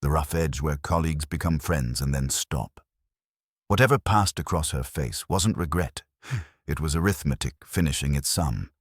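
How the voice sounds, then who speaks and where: Very deep husky male detective-story narrator. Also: moderate pace, no echo, clean studio sound. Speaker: audiobook narrator. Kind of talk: scripted first-person detective story narration.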